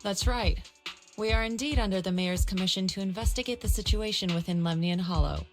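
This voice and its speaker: A woman's voice: medium pitch, a little fast, over music, close to an everyday voice. An actress playing a composed, authoritative female martial arts master in a video game, formal and assured.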